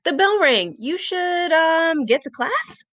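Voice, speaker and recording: Lady doing a whiny school kid in a storybook, reluctant boy, very exclamatory, swoopy pitch. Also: expressive, slow, fairly bright tone, exaggerated voice. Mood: awkward. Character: young schoolboy, reluctant, easily alarmed hall monitor.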